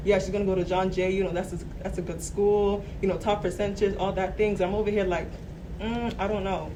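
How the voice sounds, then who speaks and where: A woman's voice: mid-range voice, steady tone, fairly quick, mellow. A woman in her twenties from Brooklyn, a college student guest chatting casually and filling her talk with "like" and "you know". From Brooklyn, New York. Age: 20s. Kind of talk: casual panel chat. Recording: distant mic in a noisy room.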